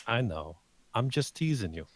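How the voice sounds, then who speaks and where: A man's voice: medium pitch, very animated, moderate pace, no echo. A man playing a wry, teasing young female bartender in a screenplay table read.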